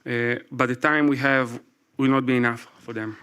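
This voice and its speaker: A man in his forties with an Israeli accent, a native Hebrew speaker, reading a prepared talk from his phone to a live audience. He speaks carefully, with pauses and apologies. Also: medium pitch, fairly even intonation, bright, forceful tone, smooth. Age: 40s. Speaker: speaker at a public event. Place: event stage before a live audience.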